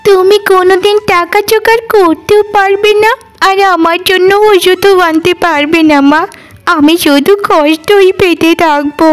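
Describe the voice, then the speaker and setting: Cartoon role: sick young village daughter performed by a woman, tiny very high voice, complaining and suffering. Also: steady tone, moderate pace. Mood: suffering, plaintive. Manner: whining, plaintive. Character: sick, plaintive village girl begging her mother. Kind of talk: Bengali cartoon dialogue.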